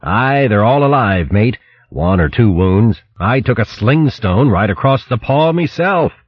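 Man doing a sailor mouse, cheery, slangy, husky, dry close sound. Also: medium pitch, lively intonation, unhurried, no echo, muffled recording, pitched above the performer's own voice. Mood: cheerful. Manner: salty sailor talk, "mate", "aye". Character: cheerful male sailor mouse, plain seaman.

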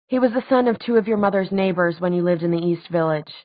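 A woman voicing a gentle, weary man in an audiobook, speaking in a quiet, measured, apologetic way. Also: fairly low pitch, no room sound, narrow, tinny audio, close to an everyday voice. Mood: explaining. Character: gentle, weary adult man, guardian figure.

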